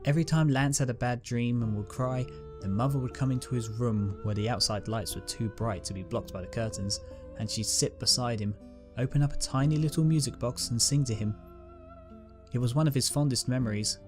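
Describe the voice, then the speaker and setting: A man narrating a fan-written pony story in a calm, steady reading voice. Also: mid-range voice. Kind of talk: fanfic audiobook reading. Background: guitar music.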